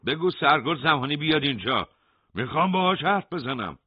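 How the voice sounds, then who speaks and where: A man's voice: a little high, expressive, breathy edge, no echo, dull audio, voice pushed up. Defiant elderly cleric prisoner, raised urgent voice, read by a man. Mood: demanding. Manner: pleading then threatening, raised voice.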